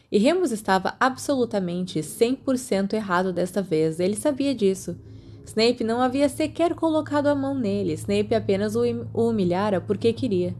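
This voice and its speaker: A Brazilian woman narrating a fan fiction audiobook in a soft storytelling manner, voicing the dialogue as she goes. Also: medium pitch.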